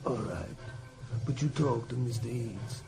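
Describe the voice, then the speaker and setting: Street boss: gravelly, blunt, suspicious, radio-play grit. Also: male voice, mid-range voice, measured pace. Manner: demanding, suspicious. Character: suspicious male street-drug boss, gruff. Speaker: drug dealer character.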